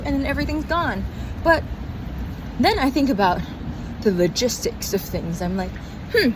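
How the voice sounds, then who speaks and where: A young adult woman talking in a casual, rambling video diary, halting and candid, often interrupting herself. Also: high-pitched. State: physically tired. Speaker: vlogger and acting student. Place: outdoors on an urban walk. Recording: outdoor street noise, passing cars. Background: traffic and city ambience.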